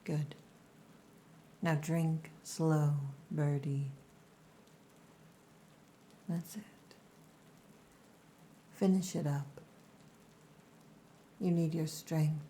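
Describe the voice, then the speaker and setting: Orc wife for ASMR roleplay, played by a woman: low, mellow, breathy near-whisper, strict and possessive caretaker. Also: fairly even intonation, extremely slow, pauses often, slight background noise. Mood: commanding, tender.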